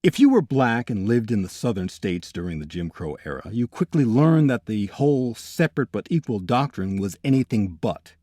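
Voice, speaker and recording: A male narrator delivers a scripted documentary with serious emphasis. His voice is rough-edged and moves around a lot in pitch, and the sound is very dry. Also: sing-song, normal speed, few pauses, dark tone, no room sound. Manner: serious, emphatic list-making. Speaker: narrator and host.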